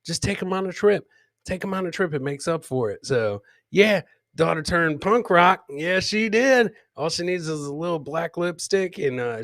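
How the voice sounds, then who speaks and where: A man's voice: high voice, fairly bright tone, dry recording, clean studio sound. A man hosting a live comedy podcast, jokey and informal, repeating his phrases as he reacts to clips and chat. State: several beers in. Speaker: host.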